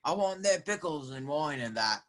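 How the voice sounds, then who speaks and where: A man's voice: on the high side, expressive, moderate pace, fairly bright tone. A man playing an impatient, demanding mutinous sailor with salty talk in a radio play. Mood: impatient, grumbling. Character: impatient, grumbling male mutinous pirate sailor.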